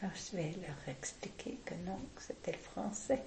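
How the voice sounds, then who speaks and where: An older woman, talking deliberately and with firm opinions in a casual interview, often restarting her sentences. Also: lowish voice, reverberant, in a whisper.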